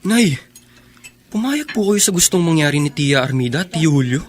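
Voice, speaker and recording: An actor playing an earnest, bereaved and protective young son in a Filipino radio drama, with intense emotional delivery. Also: male voice, fairly high pitch, very animated, moderate pace, soft background music. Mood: indignant.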